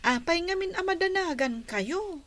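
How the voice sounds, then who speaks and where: An actress with an Ilocano accent playing a coy, tender young maiden in love in a radio drama. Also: female voice, extremely high pitch, animated, normal speed, a bit bright, dry recording. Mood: coy, happy.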